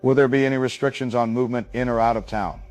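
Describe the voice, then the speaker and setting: An actor playing a serious local news anchor, formal and polite in a breaking news broadcast drama. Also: male voice, medium pitch, fairly even intonation, fast, darkish tone, faint music behind, lightly acted. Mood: sober, formal.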